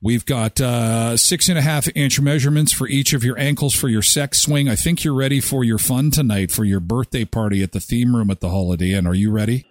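A man's voice: medium pitch, a little fast, few pauses, no room sound, lightly acted. A man playing a polite, officious hotel clerk for a prank call, straight-faced, with hesitant phrasing.

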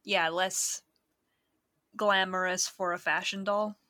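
Bright-voiced woman in relaxed chat. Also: fairly low pitch, slow pace, dry recording, clean studio sound. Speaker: podcast co-host. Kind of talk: casual podcast chat.

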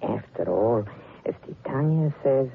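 An actor playing a suave, menacing little foreign blackmailer in 1940s radio drama style, mannered and excessively polite. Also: male voice, mid-range voice, lively intonation, unhurried, gravelly. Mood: veiled menace. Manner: overly polite, sly, mannered. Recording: noisy, muffled old radio recording.

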